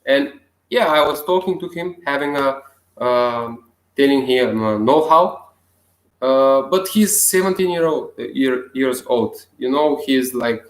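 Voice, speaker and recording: Slow-talking Bulgarian man in an echoey room. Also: medium pitch. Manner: halting non-native English with frequent restarts and chuckles. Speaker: self-healing vlogger. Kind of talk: casual talk to camera.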